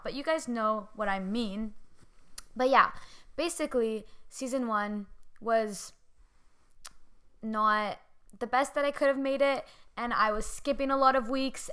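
A young woman hosts her own podcast in a chatty, rambling way, poking fun at herself as she goes. Her voice is bright, clear and a little high, with a slight hoarseness left over from a loud night out. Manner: chatty, self-deprecating, rambling with fillers. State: hoarse from screaming the day before. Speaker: podcast host. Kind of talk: casual solo podcast chat.

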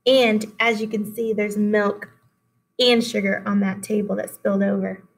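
A woman in her thirties, a teacher giving an expressive, instructive read-aloud lesson for children. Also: medium pitch, measured pace, poor audio. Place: small classroom indoors.

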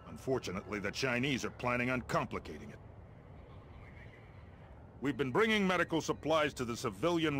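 An actor playing a gruff, impatient middle-aged American army colonel in a video game, briefing in a blunt, commanding, wry way. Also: male voice, mid-range voice, a bit bright, a little hiss or hum, lightly acted. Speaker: military officer giving mission briefings.

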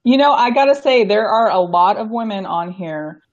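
A woman hosting a casual chat on a streamed show, frank and animated, over a video call. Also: medium pitch, normal speed. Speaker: show host. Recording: dull lo-fi stream audio.

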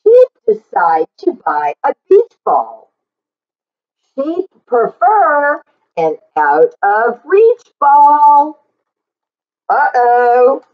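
Very slow, expressive woman reading to children. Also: high-pitched, phone-quality sound. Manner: expressive, deliberate, with drawn-out words and explanatory asides. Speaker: children's story reader and teacher. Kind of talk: read-aloud picture book storytelling for children.

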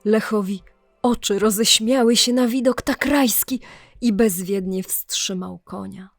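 Slow, solemn woman storyteller. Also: mid-range voice, creaky, no room sound, studio quality, soft background music. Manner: solemn, deliberate, dramatic storytelling. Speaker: narrator. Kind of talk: scripted storytelling narration of a legend in archaic language.

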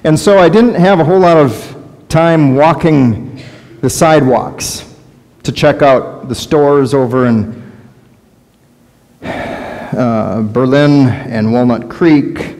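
Slow-paced Midwestern pastor in a reverberant church. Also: male voice, mid-range voice, darkish tone. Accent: American Midwestern. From Wisconsin, United States. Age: middle-aged. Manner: deliberate, halting phrases with pauses. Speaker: Lutheran pastor. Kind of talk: sermon, personal storytelling. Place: large church sanctuary, indoors, before a congregation.

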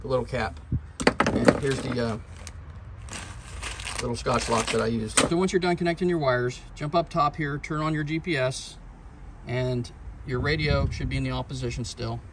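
A man's voice: medium pitch, normal speed, heavy background noise. A middle-aged man with an American accent giving a casual hands-on tutorial, explaining plainly step by step. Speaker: presenter of a do-it-yourself boat video. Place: on and around a boat outdoors, and at a workbench.

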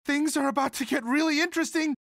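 A man voicing a sweet, timid young monster student in an animated video game, speaking in a hesitant, polite, childlike and earnest way. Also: very high-pitched, quick pace, gravelly, no echo, studio quality, heavily acted. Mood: startled, alarmed. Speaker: video game character voice actor.

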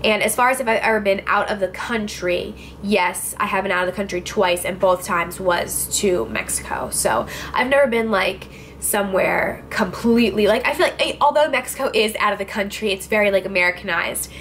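A young woman of twenty chats straight into a nearby camera, answering viewer questions about her life. Her voice sits at an everyday middle pitch, and her talk is loose and rambling, packed with "like" and "I don't know". A faint hiss of room noise runs underneath. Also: fairly quick, few pauses, a bit bright. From New Jersey. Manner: chatty, filler-heavy, lots of "like" and "I don't know". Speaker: beauty vlogger. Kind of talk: casual Q&A vlog. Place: indoors, small room with clothes rack behind. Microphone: camera facing her at close range.

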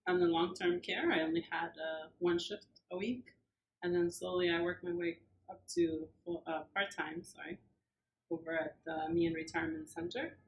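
A woman's voice: on the low side, a little hiss or hum, poor audio. A woman in her thirties from Argentina, answering interview questions in a plain, friendly way with fillers, in a small office. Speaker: church administrative assistant. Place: small church office, indoors.

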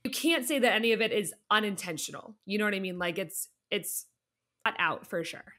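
A woman's voice: medium pitch, lively intonation, fairly quick, fairly bright tone. A woman chatting informally as a panelist, peppering her speech with conversational fillers. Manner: informal, peppered with "like" and "you know". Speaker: podcast panelist, teacher. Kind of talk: casual chat.

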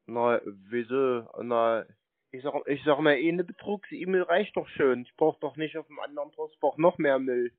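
Male, mid pitch, casual and blunt arguing, matter-of-fact, dry telephone-band audio. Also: normal speed, dry recording. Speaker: scam-baiter taking a call. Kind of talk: casual phone argument. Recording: narrow phone-like sound, dry.

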